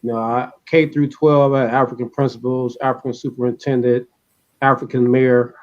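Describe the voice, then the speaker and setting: A man's voice: mid-range voice, flat delivery, normal speed, darkish tone, highs cut off. A man from the American Midwest casually recounting personal memories as a panel member. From Detroit, United States. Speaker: panel member, army veteran.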